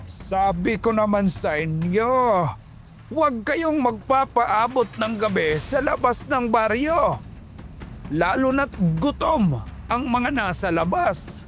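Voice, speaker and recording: A man voicing a pale, grinning male aswang bus driver who speaks in a slow, quiet, knowing taunt. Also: very high voice, animated, a little hiss or hum, pitched above the performer's own voice, heavily acted. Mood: sly menace.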